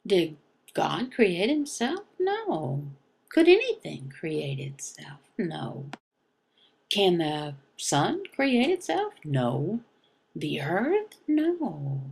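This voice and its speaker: Female religious explainer: slow, gravelly, emphatic and halting, with short repeated phrases. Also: fairly high pitch, a little mellow. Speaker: teacher voicing a signed religious lesson. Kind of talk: slow explanatory religious teaching, question and answer.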